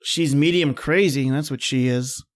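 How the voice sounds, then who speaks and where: A woman playing a brash, excitable young man at a party in old-time radio horror style. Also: rumbling low, unhurried. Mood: wry.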